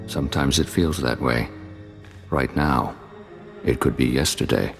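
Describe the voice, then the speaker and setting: A man's voice: very deep voice, a little slow, vocal fry, full character voice. A man playing a remorseful, haunted Jedi in a video game, somber and hesitant. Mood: haunted, sorrowful. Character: remorseful, haunted, very deep-voiced male Jedi. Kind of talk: dramatic scripted game acting. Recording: long hall-like reverb, music behind.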